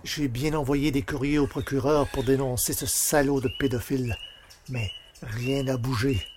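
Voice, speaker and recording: An actor playing a bitter, vengeful village carpenter, speaking in a brooding, menacing monologue for a French audio drama. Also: male voice, medium pitch, steady tone, moderate pace, a little mellow, close to an everyday voice. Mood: bitter, resolved.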